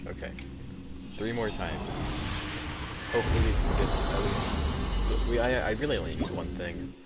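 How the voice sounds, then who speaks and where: A young man giving casual gameplay commentary, rambling and halting as if talking to himself. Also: fairly low pitch. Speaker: gaming video creator. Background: loud game music.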